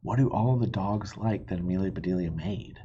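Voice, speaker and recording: A man reading a children's storybook aloud in a playful, expressive way, stretching words for emphasis. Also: deep voice, moderate pace, dull audio, background noise. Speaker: reader of a children's book. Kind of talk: animated storybook read-aloud with asides.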